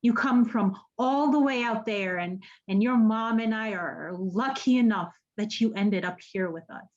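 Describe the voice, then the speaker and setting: An actress playing an earnest, haunted woman in an audio drama, speaking haltingly and searching for her words. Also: fairly high pitch, a little mellow, lo-fi sound, lightly acted. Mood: tender, reflective. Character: earnest, haunted woman running an apocalypse support group. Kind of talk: naturalistic podcast radio drama acting.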